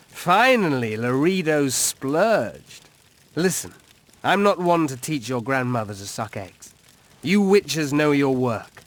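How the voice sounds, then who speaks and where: Aged fantasy game elf: melancholy, tipsy, enigmatic woodsman, medium pitch. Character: weary, melancholy old male elf woodsman, cryptic. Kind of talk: fantasy video game dialogue.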